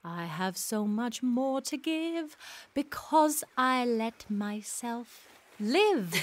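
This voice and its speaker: An actress playing a wise, wry, playful woman who gives gentle counsel in a period audio drama. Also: fairly high pitch, exaggerated voice.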